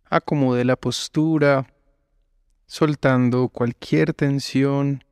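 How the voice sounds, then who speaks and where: Slow, smooth-voiced man guiding relaxation. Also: mid-range voice, dry recording, studio quality. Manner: calm, measured instructions with long pauses. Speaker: yoga nidra instructor. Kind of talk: slow guided relaxation meditation.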